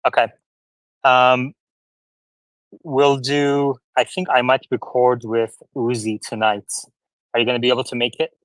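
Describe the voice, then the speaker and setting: A man hosting a live audio space, casually chatting and moderating callers in a friendly, brisk way. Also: mid-range voice, flat delivery, a bit bright, no echo, rough recording.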